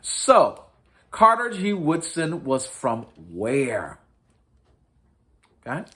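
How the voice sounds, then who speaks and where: Man, children's storybook reader, slightly high-pitched voice, animated melody, expressive, deliberate emphasis, dramatic rises and falls. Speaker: host reading stories to children.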